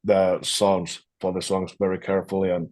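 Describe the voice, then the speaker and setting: A man's voice: low voice, completely flat delivery, moderate pace, a little mellow. A man, a metal guitarist, talking casually in an interview over a video call and often repeating words as he thinks. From Finland.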